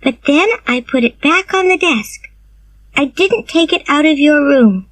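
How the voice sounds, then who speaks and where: A woman voicing an eager young orphan girl who talks in a high, earnest, pleading way. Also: lively intonation, measured pace, recorded from a distance, poor audio.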